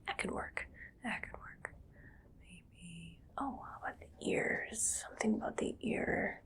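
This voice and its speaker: A woman playing an eerily calm, gleefully morbid mad scientist in an ASMR roleplay, speaking in a hushed, breathy, musing whisper. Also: medium pitch. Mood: musing, absorbed. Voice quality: breathy whisper with soft creaky voicing. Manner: slow, hushed, musing to herself.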